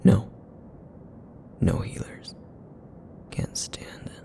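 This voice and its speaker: A man voicing a broody, guarded feral essence eater in an ASMR roleplay, with a raspy, rumbling, breathy murmur and terse, grudging phrases. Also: very slow, dark tone. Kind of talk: ASMR roleplay audio acting.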